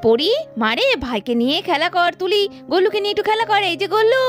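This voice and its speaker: A storyteller puts on a doting puppet mother from a village cartoon, extremely high and swooping. She talks fast in fussy, coaxing exclamations, with music behind her. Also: sing-song, fairly bright tone, exaggerated voice.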